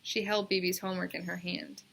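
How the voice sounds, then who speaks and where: A woman's voice: lowish voice, flat delivery, normal speed, vocal fry, a bit bright. A woman reading a storybook aloud, narrating expressively for children. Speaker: storybook reader.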